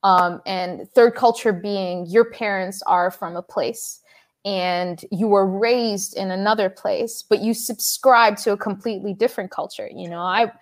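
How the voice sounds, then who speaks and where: A woman from Dubai, a poet, talking in a casual interview in a relaxed, reflective way with frequent fillers. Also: mid-range voice, measured pace.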